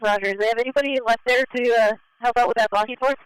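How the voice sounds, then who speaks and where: A police airship officer answering over the radio with quick, polite replies. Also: medium pitch, fairly even intonation, smooth, no room sound, low-quality recording. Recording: dry scanner radio audio.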